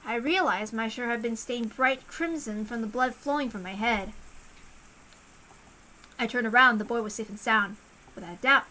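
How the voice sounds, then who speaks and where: Female story reader, high voice, bright and forceful, dramatic first-person narration. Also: moderate pace, long pauses, rough recording. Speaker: visual novel reader.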